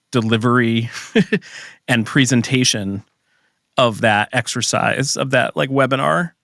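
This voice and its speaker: A man speaks in a halting, hesitant way, audibly exasperated as he talks things through. His voice sits at a middle pitch, and it is recorded close and dry. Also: fairly bright tone, no echo. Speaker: family caregiver, guest. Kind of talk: casual conversation.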